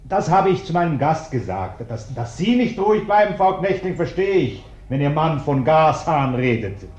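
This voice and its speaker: A man's voice: a little high, sing-song, moderate pace, darkish tone. An actor playing a curt, evasive, formal bourgeois businessman on the telephone in a German radio play. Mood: impatient, evasive. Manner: brusque, formal, impatient. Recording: dull, roomy old radio recording.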